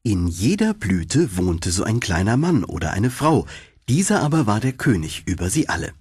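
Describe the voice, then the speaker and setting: Deep, husky male fairy-tale narrator. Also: normal speed, no echo. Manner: expressive storytelling. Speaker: audiobook narrator.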